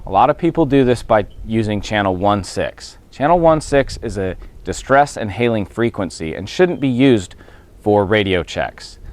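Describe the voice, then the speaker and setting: A man in a coast guard role giving prepared safety advice in a measured, formal and reassuring manner. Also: fairly low pitch. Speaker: coast guard member being interviewed.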